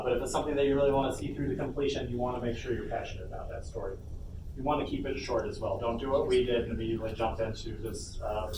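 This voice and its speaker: A man presents a workshop in an informal, explanatory style. His medium-pitched voice is picked up from a distance in a roomy, echoing convention space. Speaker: workshop presenter, game developer. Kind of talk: informal instructional talk to an audience. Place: indoor convention panel room. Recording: roomy echo, distant mic, noisy convention room.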